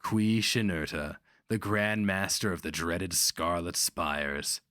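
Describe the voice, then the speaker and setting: A man narrates dark fantasy in a fairly low voice, close and dry. His delivery is slow, grave and theatrical. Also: steady tone, no echo. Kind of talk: dramatic scripted fantasy narration.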